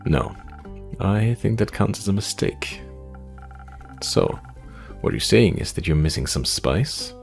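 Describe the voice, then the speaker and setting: A calm tavern keeper in a fantasy game, played by a man, low and gravelly. The delivery is measured and wry, gently teasing, like a patient listener. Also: soft background music, heavily acted. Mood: calm, curious.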